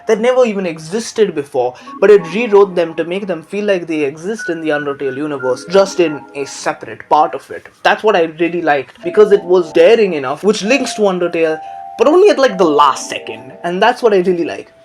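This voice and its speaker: A man's voice: sits high, lively intonation, a little fast, faint music behind. A man giving a casual, opinionated commentary in a rambling, emphatic way with jokey asides. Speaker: video commentator.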